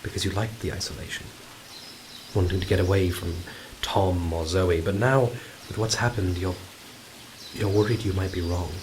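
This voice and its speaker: A man playing an anxious, brooding astronaut on a remote moon base in an audio drama, speaking haltingly with breathy urgency. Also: deep voice, fairly even intonation, natural voice. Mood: worried. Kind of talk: audio drama acting, confiding recorded log. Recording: clear background noise.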